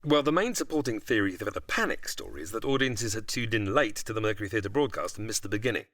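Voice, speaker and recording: A man's voice: fairly low pitch, animated, fast, a bit bright, no room sound, studio quality. A man with a British accent, a podcast guest giving scripted explanations in a narrating style.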